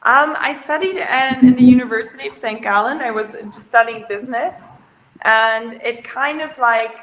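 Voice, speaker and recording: A woman's voice: on the high side, normal speed, fairly bright tone, low-quality recording. A woman in her thirties who grew up in Switzerland, chatting on a video call as a guest, laughing often and restarting her sentences. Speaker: startup founder, guest. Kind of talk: casual interview on a video call. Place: home room, indoors. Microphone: video call webcam.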